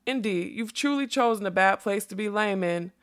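A woman's voice: mid-range voice, normal speed, close to an everyday voice. Woman voicing a whimsical, theatrical, scatterbrained male butterfly. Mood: melodramatic. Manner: theatrical, rambling, bursting into song. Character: whimsical scatterbrained male butterfly who sings and quotes nonsense. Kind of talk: acted audiobook storytelling.

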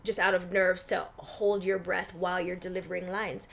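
A woman's voice: lowish voice, little pitch movement, normal speed, creaky, a bit bright. A woman speaking as an interview guest in a casual, informal way, explaining things with lots of "like" and "you know" and acting out bits of dialogue. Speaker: interview guest, actress and film industry buyer.

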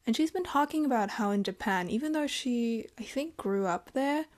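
A young woman from Germany, speaking in a reflective personal vlog, thoughtful and hesitant, with halting phrasing. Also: fairly high pitch, expressive, darkish tone. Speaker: vlogger.

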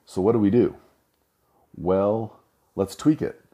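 A middle-aged man with a low voice, talking casually and rambling with restarts. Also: slow pace, mellow. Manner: rambling, self-correcting storyteller. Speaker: host. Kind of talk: casual monologue.

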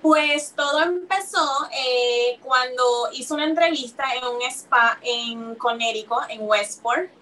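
A Puerto Rican woman talks about her work on a radio show, pausing and restarting often. Her voice sits a little high and sounds bright and sharp. She is heard from some distance from the microphone. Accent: Puerto Rican Spanish. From Puerto Rico. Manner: hesitant, many fillers and restarts. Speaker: guest aesthetician. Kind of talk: radio interview.

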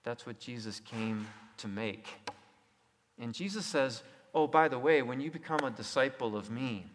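A man's voice: mid-range voice, expressive, normal speed, slight background noise. A man preaching a sermon in an emphatic way, repeating phrases and putting rhetorical questions to his listeners. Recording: echoey hall with long reverb.

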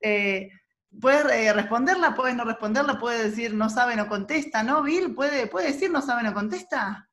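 A woman's voice: on the high side, expressive, fairly bright tone. Chatty Argentine woman host. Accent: Argentine Spanish. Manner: friendly, chatty, quick asides. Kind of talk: casual interview chat.

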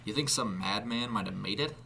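Guy doing a nervous fisherman in an audiobook, uneasy, bright tense voice. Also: fairly low pitch, brisk, gravelly, slight background noise.

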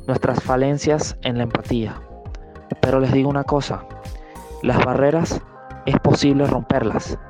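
A man's voice: medium pitch, a little mellow. A man in his twenties with a Venezuelan accent, a nurse giving a composed, confident testimonial message. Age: 20s. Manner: composed and confident, speaking with conviction.

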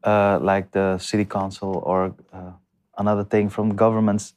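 A man answering interview questions in a halting way, with many restarts and fillers. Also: on the low side, flat delivery, a little mellow. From came to the Netherlands as a refugee. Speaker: guest. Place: lives in north Amsterdam.

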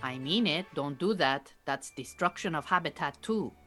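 An actress playing an Iranian refugee woman who keeps bees, a dry, earnest, patient mentor with measured phrasing in an audio drama. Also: low-pitched, animated, pushed and bright, soft background music, full character voice. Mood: earnest, firm. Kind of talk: naturalistic audio drama dialogue.

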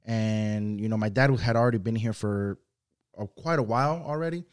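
A man's voice: on the low side, normal speed, clean tone. Young guy from mexico, spanish is his first language, rambly laid-back podcast guest talk. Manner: loose, rambling, full of "you know" and "like". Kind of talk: casual conversational interview.

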